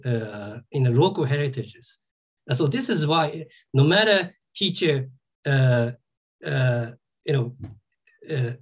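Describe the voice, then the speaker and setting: Male academic, native Japanese speaker, Japanese accent, slightly high and husky, halting seminar delivery. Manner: halting, full of "you know" and "like" fillers. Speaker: academic lecturer. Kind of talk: seminar talk.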